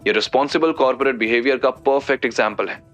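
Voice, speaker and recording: Breaking-news anchor for a drama, done by a male narrator: punchy, high-energy, indignant, music underneath. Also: fast, a bit bright, lightly acted. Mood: approving, emphatic. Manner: punchy, declarative, emphatic.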